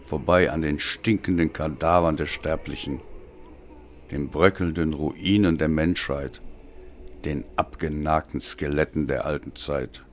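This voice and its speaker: Very deep, slow, brooding male narrator. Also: fairly even intonation, slight background noise. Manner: brooding, deliberate, theatrical. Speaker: audiobook narrator. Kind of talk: dramatic scripted narration.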